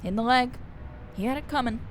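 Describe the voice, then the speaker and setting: A woman's voice: fairly high pitch, brisk, a little hiss or hum, natural voice. A woman playing a blunt, wry shipboard snoop with a kind streak, speaking dryly in a sci-fi radio drama. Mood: dry, consoling. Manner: dry, sighing, matter-of-fact.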